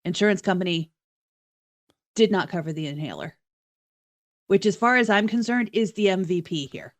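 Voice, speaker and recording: A woman's voice: medium pitch, moderate pace. A woman co-hosting a casual podcast while sick with bronchitis, trading dry, blunt and profane quips. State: has bronchitis. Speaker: podcast co-host. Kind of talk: casual banter.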